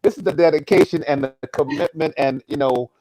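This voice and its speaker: A man's voice: a little high, fairly quick, dark tone, dry recording, poor audio. A man giving unscripted remarks as an actor on a live Q&A panel, effusive and often stammering over repeated starts.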